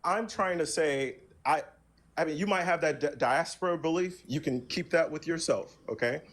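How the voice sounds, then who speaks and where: A man's voice: a little high, normal speed, fairly bright tone. A man from the United States, a debate guest, talking defensively and stammering. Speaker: guest on a live-stream debate.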